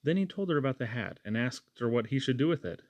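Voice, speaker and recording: A man narrates a storybook, reading aloud as an audiobook reader. His voice sits at a medium pitch, and the sound is dry, with little room in it. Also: lively intonation, quick pace, a little mellow, dry recording.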